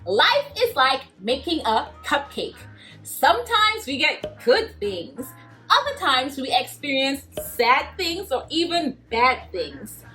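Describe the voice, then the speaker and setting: A woman hosting a children's Bible lesson, cheerful and animated, laughing often as she talks to kids. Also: high voice, takes it slowly, soft background music. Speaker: children's story host.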